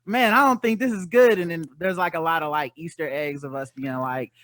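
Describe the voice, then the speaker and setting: High-voiced bright man, wry podcaster. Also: fairly quick, no room sound. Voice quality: high-pitched voice. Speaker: podcaster, guest panelist. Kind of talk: casual humorous chat.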